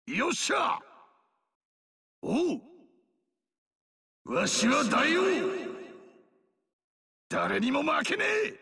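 An actor playing a boisterous, burly barbarian king who shouts rough battle cries and boasts loudly in a video game. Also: very high-pitched, full character voice. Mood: brash boasting.

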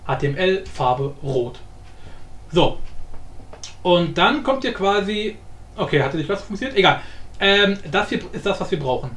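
A man presenting a software tutorial casually, with informal, jokey asides and frequent self-interruptions. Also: high-pitched. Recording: roomy echo, faint background noise.

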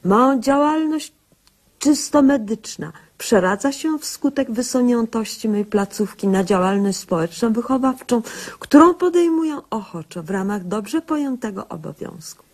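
A woman's voice: fairly high pitch, lively intonation, normal speed, darkish tone. An actress playing a self-important young woman doctor who narrates her own cases in a pompous, official way in a radio comedy sketch. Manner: pompous, bureaucratic, mock-official.

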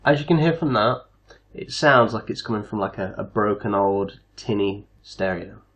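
Creaky-voiced man giving a tutorial. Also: fairly low pitch, moderate pace, a bit bright. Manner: step-by-step instructional. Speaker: tutorial host. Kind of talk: casual screen-recorded software tutorial.

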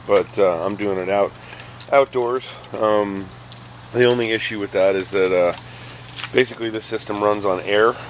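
Low-voiced man explaining a garden setup. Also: a little hiss or hum, rough recording. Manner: relaxed, many ums and uhs, explaining as he films. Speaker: hydroponics reviewer. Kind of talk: casual how-to walkthrough. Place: outdoors, beside buckets on pavement.

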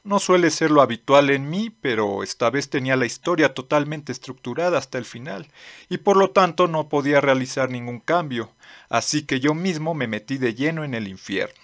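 A man's voice: mid-range voice, lively intonation, normal speed, a bit bright, no room sound. A man reading scripted narration for a manga dub as its narrator.

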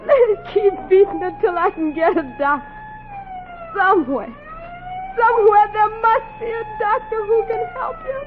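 A woman's voice: extremely high pitch, expressive, normal speed. A woman playing a frightened, devoted wife, urgent and melodramatic, in a 1940s radio drama. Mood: desperate pleading. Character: frightened, devoted wife pleading for her husband. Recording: muffled, hissy 1940s radio recording.

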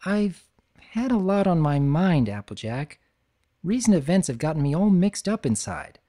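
A man's voice: fairly high pitch, big pitch swings, moderate pace, mellow, voice pushed up. A man voicing a nervous, bookish young pony mare who talks hesitantly. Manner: hesitant, fretful.

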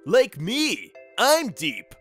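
An actor voicing a lazy, smug teenage boy in a cartoon parody dub, self-satisfied and deadpan in attitude. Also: mid-range voice, very slow, faint music behind, heavily acted.

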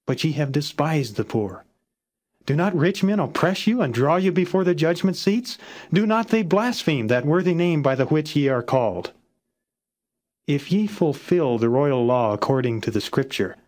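A man reading scripture as a non-dramatized audiobook, with measured, solemn and formal phrasing. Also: fairly high pitch. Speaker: Bible reader, narrator.

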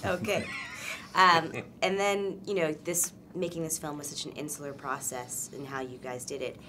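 A woman's voice: low-pitched, level tone, normal speed, background noise. A woman interviewer asking long, hesitant questions. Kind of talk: press junket questions.

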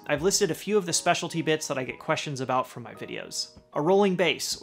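A man's voice: mid-range voice, a bit bright. A middle-aged man hosting a woodworking channel, reading a scripted product rundown in his garage workshop with an upbeat, list-like delivery. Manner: upbeat list-style delivery, dropping at the end of each item.

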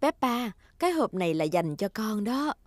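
A woman voicing a kind, patient mother pig, gentle and reassuring, in a dubbed preschool cartoon. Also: mid-range voice, big pitch swings, moderate pace, natural voice. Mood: encouraging.

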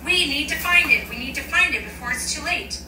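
A woman's voice: sits high, brisk, pitched above the performer's own voice, natural voice. A woman voicing an agitated, stammering man in a frantic hurry. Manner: stammering and urgent. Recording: roomy and distant, noisy background.

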